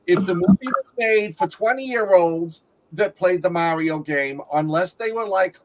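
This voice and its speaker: A man's voice: high-pitched, a little slow, low-quality recording. A man co-hosting a film review show, talking casually with the other panelists. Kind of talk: casual panel chat about films.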